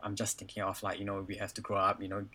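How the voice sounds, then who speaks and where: Low-voiced young Singaporean man, chatting candidly. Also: completely flat delivery, fast, crowd noise. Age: 20s. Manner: hesitant phrasing with frequent "you know" and "like". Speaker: podcast guest. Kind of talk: casual personal conversation.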